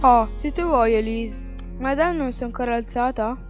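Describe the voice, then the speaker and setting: A woman playing a patient, flattering male opera agent in a radio drama, speaking in a placating, persuasive way. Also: on the high side, moderate pace, faint music behind, natural voice. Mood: impatient. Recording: dull, lo-fi radio drama sound.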